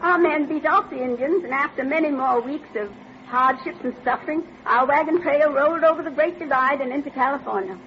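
A woman's voice: high-pitched, brisk, natural voice. An actress playing a feisty, plainspoken old American pioneer woman with a homespun manner in an old-time radio drama. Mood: nostalgic. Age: older. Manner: homespun, spirited. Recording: muffled old radio, droning noise behind.

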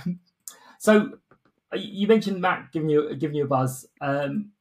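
A male podcast host with a slightly higher-pitched voice. He chats casually and jokes at his own expense, keeping the interview informal. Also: animated, moderate pace, poor audio. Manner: jokey, self-deprecating, chuckling.

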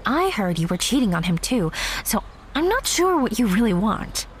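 Brief: woman as sarcastic young theater girl, animated pitch, faint hiss. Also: normal speed. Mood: wry. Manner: sarcastic, guarded. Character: wry young theater girl, new girlfriend. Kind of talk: audio drama acting.

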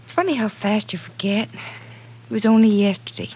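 A woman's voice: medium pitch, moderate pace, a little mellow, husky, lightly acted, rough recording. An actress playing a candid, caring young woman in an old-time radio drama, conversational and reflective. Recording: vintage radio broadcast.

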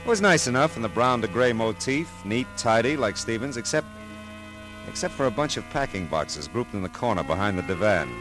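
A world-weary male private eye played by an actor from a classic radio detective drama. His voice is low, with lively swings in pitch under a dry, deadpan wit. Music sits behind the vintage recording. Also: fairly quick, close to an everyday voice. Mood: brooding narration.

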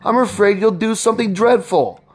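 A man reading a storybook, voicing a gentle, anxious young wife with a pleading, mournful manner. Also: mid-range voice, fairly even intonation, normal speed, soft background music, close to an everyday voice. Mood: frightened, pleading. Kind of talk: storybook read-aloud.

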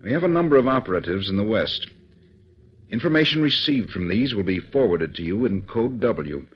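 A deep-voiced, authoritative government chief. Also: male voice, animated, moderate pace, breathy edge. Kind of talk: 1940s radio acting. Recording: dull old radio recording.

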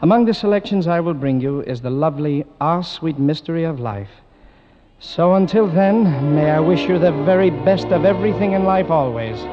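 A man signs off his radio music show in a gentle, courteous manner. His voice sits fairly high and trails into a long echo. The old broadcast sound is dull, with faint music behind. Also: animated, moderate pace. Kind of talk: scripted radio sign-off.

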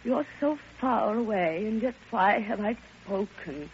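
Old radio drama: lovelorn older woman, impassioned and halting, very unhurried, muffled vintage recording with music. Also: mid-range voice, expressive, a little hiss or hum. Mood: grieving longing. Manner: impassioned, theatrical, halting delivery. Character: grieving, lovelorn old woman pouring out her heart. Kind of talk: classic radio drama monologue.

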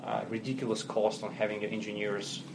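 Man, russian accent, non-native phrasing, business talk, steady noise behind. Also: fairly low pitch, brisk, vocal fry. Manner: fluent but non-native phrasing, businesslike, frequent fillers. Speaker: company CEO guest. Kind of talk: podcast interview.

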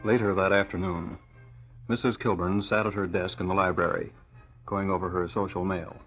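Deep-voiced vintage radio narrator, male. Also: flat delivery, normal speed, fairly bright tone, soft background music. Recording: muffled old radio recording.

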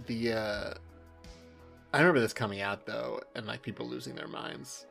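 A man with a Californian American accent chatting casually as a podcast co-host, halting and often correcting himself as he goes. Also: mid-range voice, vocal fry, a bit bright, soft background music. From Southern California, USA.

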